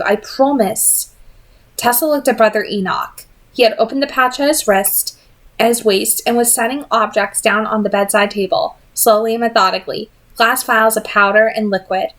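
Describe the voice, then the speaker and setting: A woman reading a novel aloud in an expressive amateur audiobook style. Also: fairly high pitch. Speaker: reader of a novel read-aloud.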